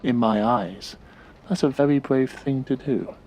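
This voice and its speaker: An actor playing a calm, probing therapist in an audio drama, with a measured, polite and clinical manner. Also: male voice, mid-range voice, slight background noise, lightly acted, low-quality recording. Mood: earnest, coaxing.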